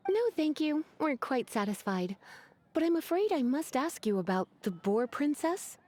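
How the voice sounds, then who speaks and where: Casting a coquettish, refined witch librarian for a game: highish, very expressive pitch, teasing delivery. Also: normal speed. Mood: polite, unhurried. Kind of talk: video game voice acting.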